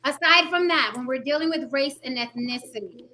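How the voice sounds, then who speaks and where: Woman host, high bright voice, blunt and emphatic panel talk. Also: normal speed, a little hiss or hum. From Puerto Rican heritage. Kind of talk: panel discussion.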